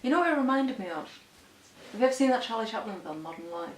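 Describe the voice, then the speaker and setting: A woman's voice: on the high side, animated, fast, slight background noise. A woman chatting casually as an interviewer, asking questions in an informal way. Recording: echoey room.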